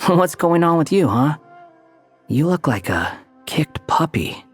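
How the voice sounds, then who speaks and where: A man's voice: a little high, sing-song, slow pace, slightly rough, heavily acted. An aloof young male wanderer in an anime game. His delivery is dry, haughty and sardonic, with faint music underneath. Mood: wry, casual.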